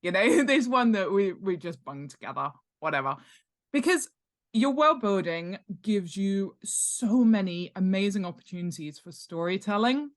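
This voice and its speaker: A woman's voice: mid-range voice, animated, moderate pace. A woman speaking as an interview guest, explaining her craft conversationally in an enthusiastic, emphatic way, with an easy laugh. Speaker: interview guest, world-building expert and author.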